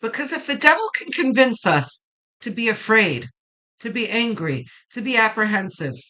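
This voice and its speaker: A woman with a low voice that turns gravelly when quiet, slowly and reassuringly reading tarot cards and thinking aloud. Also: big pitch swings, rough recording. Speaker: tarot reader.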